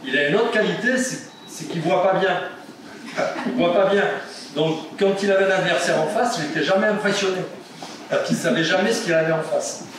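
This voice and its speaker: A man's voice: sits high, normal speed. A man from Nice giving an informal, joking tribute speech at a judo ceremony, with many fillers. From Nice, France. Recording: echoey hall, distant mic, audible noise.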